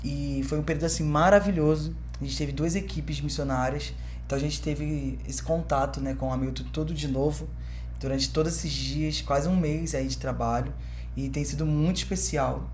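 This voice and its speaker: A man hosting a podcast, upbeat and welcoming, speeding up when excited. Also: a little high, moderate pace, a little hiss or hum. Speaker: podcast host. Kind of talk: casual podcast conversation.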